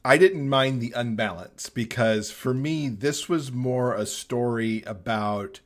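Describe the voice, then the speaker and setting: A man's voice: medium pitch, slow pace. A man talking casually as a guest in a podcast discussion about books.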